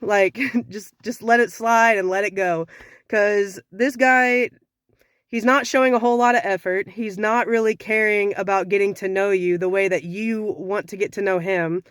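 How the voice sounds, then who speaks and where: Frank woman with a slightly raspy voice. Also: medium pitch, steady tone, moderate pace, no echo, studio quality. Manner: frank, conversational, sassy. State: slightly raspy from sinus trouble. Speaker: vlogger giving dating advice. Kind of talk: casual direct-to-camera talk.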